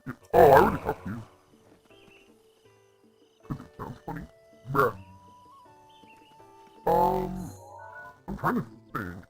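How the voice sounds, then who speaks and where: A young man casually chatting while streaming a game from home, reacting out loud to the game and to chat. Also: mid-range voice, wildly swooping pitch, slow, creaky, long pauses, faint music behind. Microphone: laptop microphone.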